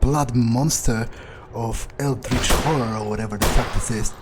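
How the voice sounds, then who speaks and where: A man giving in-character game commentary, muttering his thoughts aloud in a halting, sweary, wryly understated way. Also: mid-range voice, heavy background noise. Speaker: video game player narrating in character. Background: game music.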